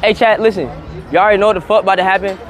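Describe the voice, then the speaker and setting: A man with a fairly high voice runs a live stream from a packed street outside a venue, with crowd chatter all around him. He talks loose and slangy, swears freely and keeps turning to address his viewers. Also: steady tone, racing. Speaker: livestreamer. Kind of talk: casual livestream chat. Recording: loud crowd noise behind.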